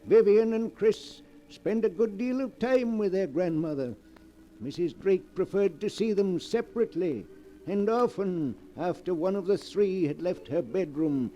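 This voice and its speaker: A man narrating a 1940s radio mystery drama, measured and storytelling. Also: high voice, mellow, highs cut off. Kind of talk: scripted narration.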